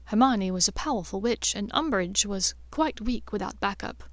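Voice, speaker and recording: A woman's voice: mid-range voice, animated, normal speed, no room sound. A woman reading a scripted story as an audiobook narrator. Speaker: audiobook narrator of fan fiction.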